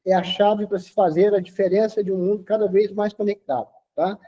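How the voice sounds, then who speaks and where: A man's voice: high-pitched, steady tone, a little fast, dark tone, slightly rough. A man of sixty, an interview guest, talking in a lecturing way and tagging his sentences with a questioning "okay?". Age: 60s. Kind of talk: casual interview talk.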